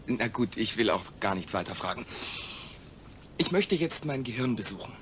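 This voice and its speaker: An actor playing a wary, wry astronaut in a new body in a German radio play. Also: male voice, mid-range voice, animated, normal speed, close to an everyday voice. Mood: wry, curious.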